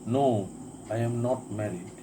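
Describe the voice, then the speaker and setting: Man, slow deliberate drill reading, crickets chirping loudly behind. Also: fairly low pitch, background noise. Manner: deliberate, repeats each sentence twice. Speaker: English teacher. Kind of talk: spoken English lesson, reading drill sentences.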